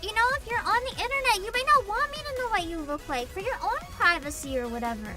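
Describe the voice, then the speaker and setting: A woman livestreamer chatting casually with viewers in a giggly, rambling, animated way, swearing casually. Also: extremely high pitch, fast. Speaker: VTuber livestreamer. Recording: music behind the voice. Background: music.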